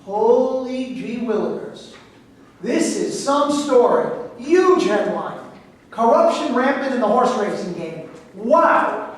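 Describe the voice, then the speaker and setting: A woman in a staged play reading, playing a pushy, excitable newspaper reporter with an insistent, questioning manner. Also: fairly high pitch, takes it slowly. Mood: excited. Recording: distant, very echoey room with noise.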